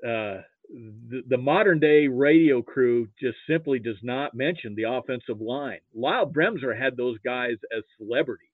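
An American man from Nebraska talking casually about sports as a podcast guest, halting and opinionated. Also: mid-range voice, dry recording. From Nebraska, United States. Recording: thin phone-like call audio.

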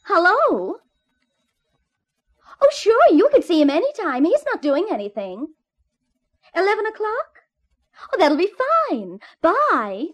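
High-pitched, sassy young secretary. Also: female voice, sing-song, takes it slowly, pauses often, heavily acted. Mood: breezy. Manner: sassy, teasing. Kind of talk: 1950s radio detective comedy-drama.

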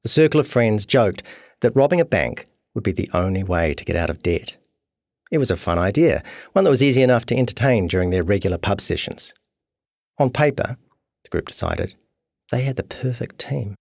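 Low-voiced New man narrating. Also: expressive, brisk, creaky, no echo, studio quality. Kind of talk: scripted narration of a long-read true crime story. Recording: music behind voice. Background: guitar music.

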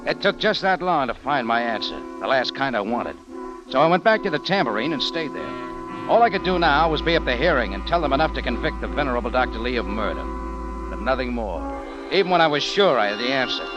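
An actor playing a tough, wry hardboiled adventurer who narrates and talks in an old-time radio drama. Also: male voice, mid-range voice, lightly acted. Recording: dull vintage radio recording with music.

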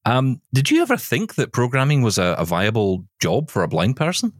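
A man's voice: mid-range voice, sing-song, normal speed, no room sound, clean studio sound. A man hosting a conversational interview, speaking emphatically and repeating phrases to stress his point. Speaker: show host interviewer.

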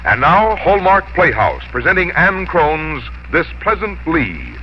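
A man's voice: on the high side, very animated, unhurried, a little hiss or hum. A man working as a radio announcer, delivering formal scripted copy in 1950s broadcast style. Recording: dull 1950s radio recording, faint music.

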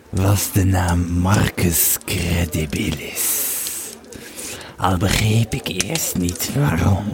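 Radio play legionary, male, acted: very deep, slow, grave war storyteller who drops into hushed tones. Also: exaggerated voice. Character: Roman legionary recounting grim camp intrigue to listeners. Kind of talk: first-person audio drama storytelling.

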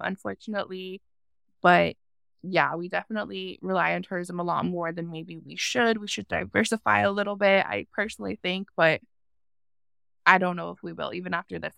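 A woman's voice: fairly low pitch, creaky, sharp tone, clear, resonant tone, no room sound, studio quality. A young woman from Hawaii, a farmer guest talking in a casual interview, thoughtful and full of "um" fillers.

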